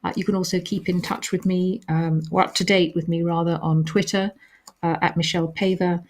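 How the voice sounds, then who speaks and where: Woman with a soothing, lowish voice reading out chat questions and answering them, lots of little ums. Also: brisk, slight background noise. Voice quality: calming voice. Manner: reads chat questions aloud, then answers with gentle hesitations. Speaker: author hosting a live reader Q&A. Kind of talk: live-stream question and answer.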